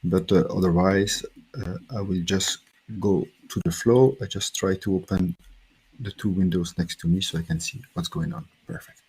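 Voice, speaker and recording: A man's voice: low-pitched, animated, normal speed, gravelly, darkish tone, breathy edge, poor audio. A man from Belgium, a native French speaker with a French-speaking Belgian accent, giving a technical conference talk with many fillers and restarts.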